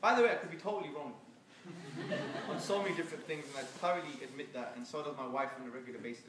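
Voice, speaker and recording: A man answering audience questions after a lecture, animated and joking with the crowd. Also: a little high, fast talker, very echoey, distant mic, noisy background. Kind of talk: public lecture Q&A.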